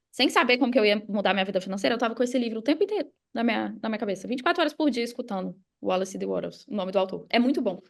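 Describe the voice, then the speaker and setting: Brazilian woman coach chatting on a podcast, highish voice w lots of vocal fry. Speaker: podcast guest, money mindset coach. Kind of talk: casual explanatory interview talk.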